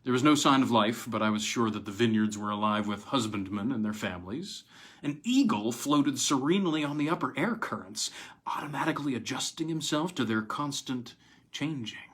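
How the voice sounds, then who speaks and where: A man's voice: medium pitch, animated, brisk, fairly bright tone, breathy edge. Man reading an old weird tale. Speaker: reader of short fiction. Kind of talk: dramatic audiobook-style narration of an old weird tale.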